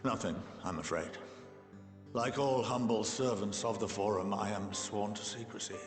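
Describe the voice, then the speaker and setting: An actor playing a genial, wry, avuncular elderly scholar in a video game cutscene, speaking with theatrical warmth. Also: male voice, lowish voice, normal speed, close to an everyday voice. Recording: reverberant hall, music behind. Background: game music.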